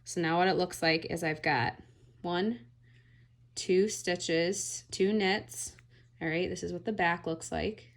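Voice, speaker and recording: Gravelly, low-voiced woman teaching knitting. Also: slow. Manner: relaxed, often checks in with "all right?". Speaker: knitting instructor. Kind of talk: casual step-by-step tutorial.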